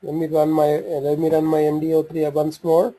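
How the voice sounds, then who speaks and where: A man with a slightly husky voice giving an unscripted software walkthrough, hesitant and thinking aloud as he goes. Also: a little high, little pitch movement, fairly quick, poor audio. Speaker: software demonstrator. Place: voice-over on a screen recording.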